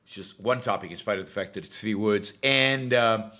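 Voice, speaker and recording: A man hosting his talk show, hoarse from losing his voice, rambling with many fillers and restarts. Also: lowish voice, normal speed, bright, forceful tone. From Israel. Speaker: show host. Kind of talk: casual livestream talk.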